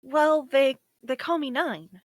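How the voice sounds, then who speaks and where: A woman's voice: sits high, slow, no room sound, clean studio sound. A woman playing a naive, shy, newly awakened space probe, earnest and hesitant, in a sci-fi table read. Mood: shy, uncertain. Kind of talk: table read of a science fiction story.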